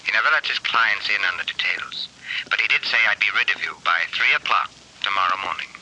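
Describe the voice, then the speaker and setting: A sly, gloating artist talks with sardonic relish. His tone is strikingly bright and sharp against a low pitch. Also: quick pace, husky, heavily acted, low-quality recording. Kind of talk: 1940s radio acting.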